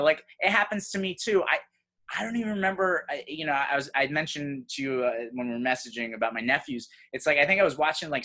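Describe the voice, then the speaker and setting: A man from Serbia hosting a podcast, talking in an animated, conversational way. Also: fairly high pitch. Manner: animated, conversational, says "you know" often. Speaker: podcast host.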